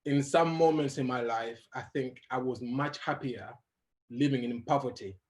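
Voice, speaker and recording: A young adult man who grew up in Uganda, telling his life story as a guest in an interview, animated and laughing as he goes. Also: medium pitch, normal speed. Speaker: guest motivational speaker.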